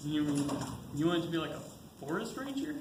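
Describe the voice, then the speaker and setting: A man's voice: fairly high pitch, very animated, brisk. A man playing an informal, griping young army soldier in an amateur stage play. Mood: joking, griping. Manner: informal, grumbling. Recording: echoey stage with clear background noise.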